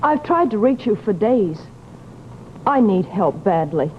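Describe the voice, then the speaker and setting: A woman's voice: medium pitch, sing-song, unhurried. An actress playing a troubled, genteel young lady in old-time radio drama, speaking in a polite, earnest, imploring way. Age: young adult. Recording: muffled, noisy old radio recording.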